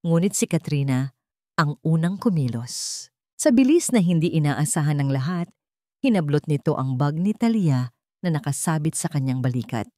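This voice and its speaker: Low-pitched woman narrating a dramatic story. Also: animated, moderate pace, mellow, no echo, studio quality.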